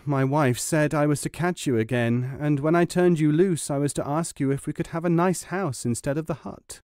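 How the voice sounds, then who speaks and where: A man's voice: on the high side, normal speed, darkish tone, smooth, no echo, studio quality, natural voice. A man voicing a meek, timid fisherman who is easily bullied and speaks hesitantly and apologetically.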